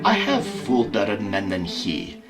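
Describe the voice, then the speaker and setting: A man's voice: on the low side, huge pitch swings, measured pace, creaky, over music, exaggerated voice. A man playing a scheming villainous colonel in an audio drama, conspiratorial, clipped and impatient. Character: scheming, tense villainous colonel, leader of crooks. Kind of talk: amateur audio drama acting.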